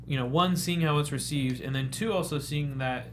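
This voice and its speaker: A man's voice: fairly high pitch, normal speed, a bit bright, a little hiss or hum. A man taking part as a host in a casual panel discussion about games, speaking conversationally. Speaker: gaming talk show host.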